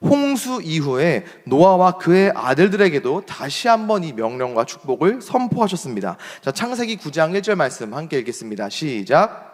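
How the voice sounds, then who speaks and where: A young adult man preaching a sermon as pastor, emphatic, rising to strong points and dropping to soft asides. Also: on the high side, sing-song, moderate pace. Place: church sanctuary. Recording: long hall reverb.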